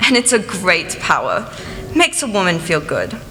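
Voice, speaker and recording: A woman's voice: fairly low pitch, huge pitch swings, measured pace, sharp tone, full character voice. A woman playing a mad, power-hungry village bell-ringer in a radio play, defiant and gloating, with rustic phrasing. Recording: long reverb, music underneath.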